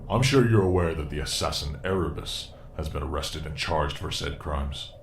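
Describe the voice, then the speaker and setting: An actor playing a proud, disgraced starship captain and suspected villain, formal, composed and condescending, in a science fiction audio drama. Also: male voice, very deep voice, moderate pace, slight background noise. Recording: roomy echo, music underneath.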